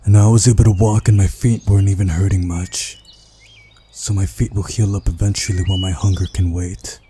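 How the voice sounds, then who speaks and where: A man reading a visual novel aloud as narrator, in a measured storytelling manner. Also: low-pitched, dark tone, slight background noise. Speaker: playthrough narrator.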